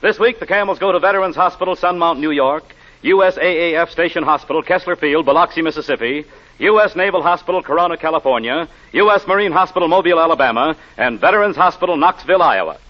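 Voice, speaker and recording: A man's voice: fairly high pitch, quick pace. An American man announcing sponsor messages and credits in polished 1940s radio style. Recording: dull 1940s radio broadcast with music.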